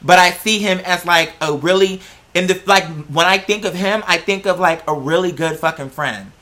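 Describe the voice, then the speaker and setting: A man telling personal stories as a host in a casual, sassy, dramatic way, with animated emphasis and lots of slang and swearing. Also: sits high, normal speed. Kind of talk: casual chat, personal storytelling.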